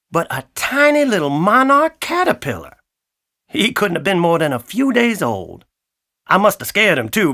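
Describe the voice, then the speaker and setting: A man voicing a friendly, wry blackbird who tells a children's story in a folksy, chatty, expressive way. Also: sits high, gravelly, exaggerated voice. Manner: folksy, expressive, addresses listener directly. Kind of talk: animated children's audiobook storytelling.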